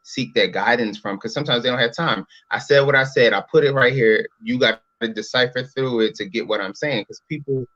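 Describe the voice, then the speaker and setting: A man's voice: medium pitch, a little fast, hardly any pauses, a bit bright, no room sound. Rambling man in his thirties, dry and dull sound. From Philadelphia. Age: 30s. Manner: rambling, repeats "you know what I'm saying". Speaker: interview guest, brand entrepreneur. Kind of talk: casual interview talk.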